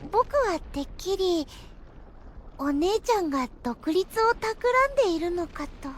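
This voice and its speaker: An actress playing a meek young elf boy in an anime audio drama, hesitant and softly polite with a stammer. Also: extremely high pitch, lively intonation, unhurried, a little hiss or hum. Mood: meek, nervous.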